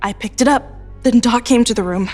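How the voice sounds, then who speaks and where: A woman playing a troubled, anxious teenage high school girl, speaking hesitantly and earnestly in an audio drama. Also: brisk, darkish tone, lightly acted. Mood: distressed. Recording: music under the voice. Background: music.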